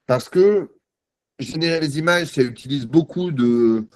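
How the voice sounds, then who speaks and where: A man giving a live software demo on a video call, chatty and self-mocking, thinking aloud with many fillers. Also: a little high, a little slow, no room sound. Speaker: guest presenter.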